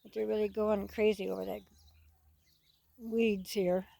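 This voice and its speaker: A woman's voice: medium pitch, flat delivery, unhurried, creaky, warm tone, no echo. A woman giving a casual, rambling outdoor vlog commentary on a farm, folksy and often repeating her phrases. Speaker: farm owner filming own geese and ducks. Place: outdoors on a rural farm, under a tree. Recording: outdoor noise with clicks and birds. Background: geese and bird calls, outdoor noise.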